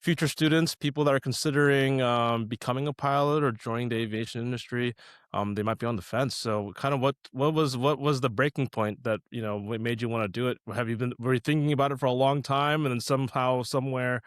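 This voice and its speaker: A man's voice: mid-range voice, steady tone, quick pace, clear, resonant tone, no echo, studio quality. A man hosting a podcast, talking in an informal, conversational way. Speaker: podcast host. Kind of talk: casual podcast talk.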